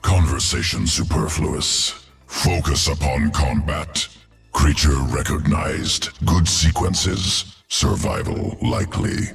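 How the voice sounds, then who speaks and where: Game creature: cold schemer, gravelly, drawn-out delivery, detached and clipped. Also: male voice, extremely low pitch, lively intonation, faint music behind, full character voice. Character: cold, calculating monstrous creature.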